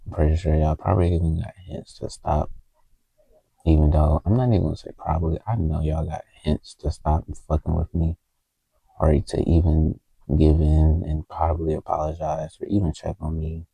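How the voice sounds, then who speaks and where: Deep gravelly man speaking from a closet. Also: mellow, no room sound, rough recording. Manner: halting, heartfelt, stops often to find words. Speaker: vlogger. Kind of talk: personal confessional vlog. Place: small closet indoors. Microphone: handheld phone camera. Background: faint TV music from the next room.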